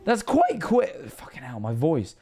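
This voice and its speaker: Hoarse man rambling on stream. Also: a little high, wildly swooping pitch, crowd noise. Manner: halting, many restarts. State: hoarse, losing his voice. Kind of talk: casual reaction commentary.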